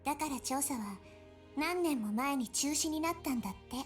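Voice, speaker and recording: A woman's voice: sits high, lively intonation, racing, faint music behind. A woman voice-acting an aloof, slightly wistful young girl in a Japanese visual novel. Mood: matter-of-fact.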